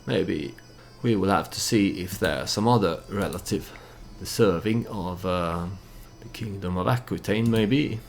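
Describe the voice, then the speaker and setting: A man's voice: sits low, a little slow, creaky, soft background music. A man who is not a native English speaker gives casual gameplay commentary with storytelling, in a hesitant, meandering manner. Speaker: let's play host.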